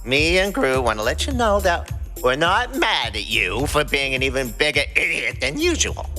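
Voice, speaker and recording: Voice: medium pitch, moderate pace, in a large hall, soft background music. A performer voicing a brash, sarcastic, scheming male talking raccoon in a dramatized fan fiction podcast. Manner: snarky, excitable.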